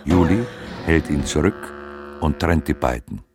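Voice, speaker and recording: An actor as the detached narrator of a German radio drama, reading stage directions in a deliberate way. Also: male voice, very deep voice. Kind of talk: slow, deliberate scripted narration. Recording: loud plucked guitar music behind. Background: guitar music.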